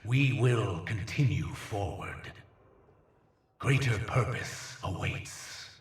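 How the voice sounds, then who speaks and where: An actor playing a ruthless, devoted alien conqueror in a video game, delivering a solemn, grandiose monologue in a breathy, rasping voice. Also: male voice, animated. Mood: solemn resolve. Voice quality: breathy, gravelly low rasp. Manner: grandiose, solemn, measured, reverent. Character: ruthless alien conqueror, grave devoted disciple of a dark master. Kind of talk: slow theatrical dramatic monologue in a video game. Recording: echoing, distant, faint noisy ambience.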